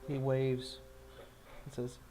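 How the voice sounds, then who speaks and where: A man's voice: medium pitch, monotone, slow pace, warm tone, soft background music. A man improvising narration as game master of a tabletop game, in a casual, meandering way.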